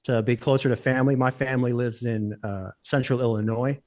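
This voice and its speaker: A man in his forties from the United States, chatting as a podcast guest in a relaxed, rambling way, with many fillers. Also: mid-range voice, a little fast. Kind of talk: casual interview chat.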